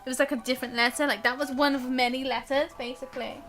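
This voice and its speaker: A woman's voice: high voice, little pitch movement, fast talker, a bit bright, soft background music. A woman live streamer chatting casually and giggly while she plays a game.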